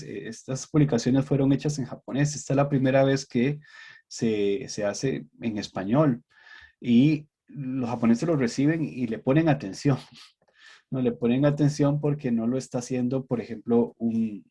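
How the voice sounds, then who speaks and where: Hesitant Costa Rican man, husky. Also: mid-range voice, mellow. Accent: Costa Rican Spanish. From Costa Rica. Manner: measured and hesitant, many pauses and fillers. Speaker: guest researcher. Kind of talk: academic talk answering questions.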